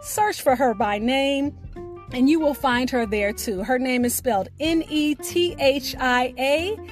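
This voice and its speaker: A woman with a high voice presents as a podcast host. Her delivery is slow, measured and carefully enunciated, as if reading from a script. Faint music plays underneath her. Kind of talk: scripted podcast sign-off and announcements.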